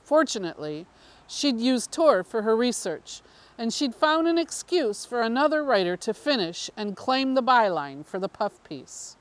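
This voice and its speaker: A woman narrates a piece of fiction in a high, lively voice. Her pitch moves around freely, and she takes the reading at an unhurried pace. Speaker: story reader.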